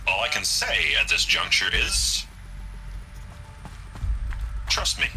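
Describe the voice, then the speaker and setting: A man's voice: medium pitch, bright, forceful tone, rough recording. An actor playing a brash, self-assured male robotics executive who talks in commanding corporate jargon for a video game.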